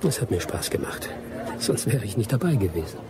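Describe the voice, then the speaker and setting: An actor playing a wily, vengeful expatriate adventurer in a German radio drama, with a sardonic, persuasive way of talking. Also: male voice, on the low side, big pitch swings, fairly quick, background noise. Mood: guarded.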